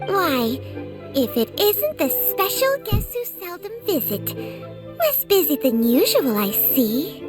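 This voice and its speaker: A woman's voice: high voice, big pitch swings, takes it slowly, over music, heavily acted. A woman voicing a wry talking snake in a video game, with ornate, alliterative phrasing. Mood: wry, sly. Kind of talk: video game character dialogue.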